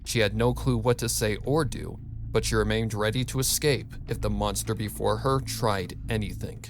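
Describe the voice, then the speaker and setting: A man's voice: lowish voice, fairly even intonation, moderate pace, soft background music. A man giving a dramatic scripted narration of a story, as a fan fiction reader.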